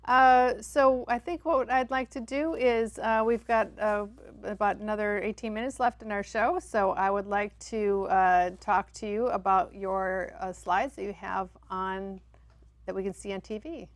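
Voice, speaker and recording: A woman's voice: mid-range voice, steady tone, measured pace, dry recording. A woman hosting a studio interview show. She is effusive and affirming, and she often restarts her sentences. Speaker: TV show host.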